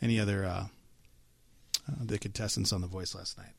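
A middle-aged man co-hosting a morning radio show in casual banter, animated and chatty, a bit worn in the voice. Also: lowish voice, fairly quick, creaky, slightly rough, dry recording. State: losing his voice.

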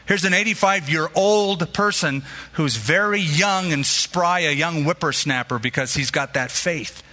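A male pastor delivers a sermon with a very bright, forceful tone, and his voice rings out in a hall with a long reverb. He tells stories in a lively way and acts out the voices of the people he quotes. His voice sits somewhat high for a man. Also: a little slow.

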